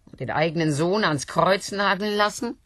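An actress playing a rich, hard-drinking older widow in a radio play, sardonic and theatrical, going from murmurs to outbursts. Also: female voice, on the low side, normal speed, fairly bright tone, no room sound, studio quality.

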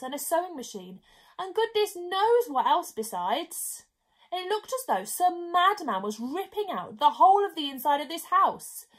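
A young woman reading a children's story expressively, with dramatic emphasis and drawn-out key words. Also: sits high, fairly bright tone, slightly rough. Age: young adult. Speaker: story reader. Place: small bedroom, indoors.

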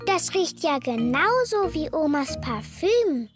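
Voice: high-pitched, very animated, takes it slowly, full character voice. A performer voicing a cheerful, bossy little girl piglet in a cartoon, eager and childlike, with music behind the voice. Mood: eager, playful.

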